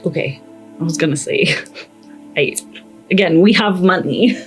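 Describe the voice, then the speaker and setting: A woman's voice: fairly low pitch, measured pace, rough recording. A woman chatting casually as a player in a tabletop game. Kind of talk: casual chat. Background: background music.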